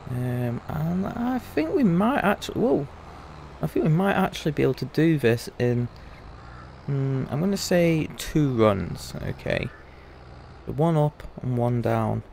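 Gravelly man chatting over a game. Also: medium pitch, sing-song, a little slow, a little mellow, slight background noise. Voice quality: gravelly, a bit rusty. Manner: chatty, rambling, apologetic. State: recovering from losing his voice. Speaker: gaming YouTuber. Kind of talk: casual let's-play commentary.

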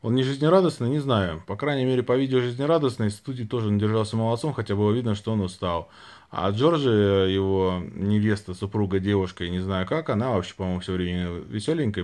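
A man's voice: fairly low pitch, fairly quick, a little mellow. A man in his thirties hosting a casual livestream, reading out viewers' questions and answering them into a phone held at arm's length. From Saint Petersburg, Russia. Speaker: livestream host. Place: small room indoors, lying back on pillows.

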